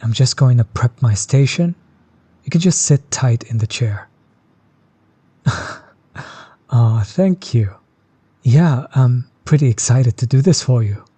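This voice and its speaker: A man playing a chill, friendly tattoo artist in an intimate audio roleplay, soft-spoken, easygoing and reassuring. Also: mid-range voice, breathy edge, no echo. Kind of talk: intimate one-sided audio roleplay, casual chat.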